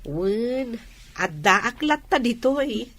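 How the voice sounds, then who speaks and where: A woman's voice: a little high, expressive, slow pace, a bit bright, full character voice. A woman with an Ilocano accent acting as a middle-aged provincial housewife and attentive wife in a radio drama. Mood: friendly, chatty.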